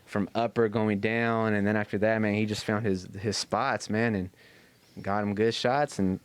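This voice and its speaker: Low male voice, smooth and clear, slangy, profane, casual podcast sports chat, dry close sound. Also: fairly even intonation, normal speed, no room sound. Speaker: podcast host.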